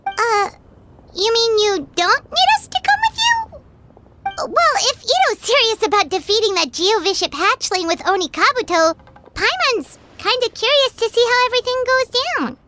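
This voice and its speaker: A woman voicing a small, childlike, excitable floating girl companion in an anime game. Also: extremely high pitch, normal speed, background noise. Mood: curious.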